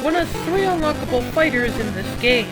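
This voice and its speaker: A woman's voice: high-pitched, lively intonation, a little fast, rough recording. A woman narrating a video game review, sweary and exasperated, given to ranting outbursts. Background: music.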